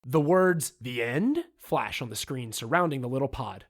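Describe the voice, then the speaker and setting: Animated man reading screenplay directions. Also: mid-range voice, normal speed.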